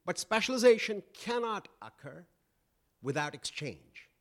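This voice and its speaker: Loud, halting man giving an economics lecture. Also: high voice, very animated. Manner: loud, halting with many fillers. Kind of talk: public lecture.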